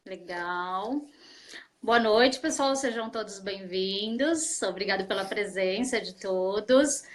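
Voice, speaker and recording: A woman's voice: mid-range voice, takes it slowly. Brazilian woman guest on a video call. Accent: Brazilian Portuguese. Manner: polite, brief replies, then a formal self-introduction. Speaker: guest psychologist. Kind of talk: live-stream chat. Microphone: webcam on a video call.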